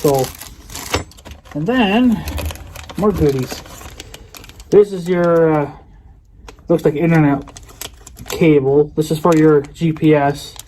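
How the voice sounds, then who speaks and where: A man's voice: fairly high pitch, slow, long pauses, dark tone. A man giving a casual, unscripted show-and-tell of a product in a rambling, folksy, colloquial way. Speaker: hobbyist video creator presenting a product unboxing. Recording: noticeable background noise with clicks.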